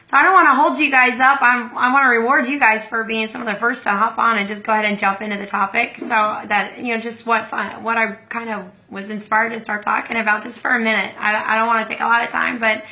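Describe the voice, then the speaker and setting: A hoarse middle-aged woman hosting a casual livestream, chatting and greeting viewers by name. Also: a little high, little pitch movement, quick pace, few pauses, bright, forceful tone, rough recording. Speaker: livestream host.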